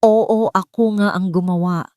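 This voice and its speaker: A woman's voice: mid-range voice, steady tone, a little slow, dark tone, no echo, natural voice. Envious scheming man , read by a female storyteller, bitter, resentful, dry recording. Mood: bitter outburst. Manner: resentful, emotional.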